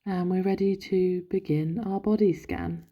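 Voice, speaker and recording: Very soft mellow woman guiding meditation, slow. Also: on the low side, steady tone. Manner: gentle, soothing, instructional. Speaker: yoga and meditation instructor. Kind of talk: guided body scan meditation. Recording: dull, lo-fi, roomy echo.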